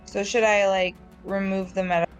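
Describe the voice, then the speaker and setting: A woman's voice: medium pitch, little pitch movement, normal speed, muffled recording, soft background music. A woman playing in a tabletop game, asking casual rule questions and swearing now and then. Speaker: player.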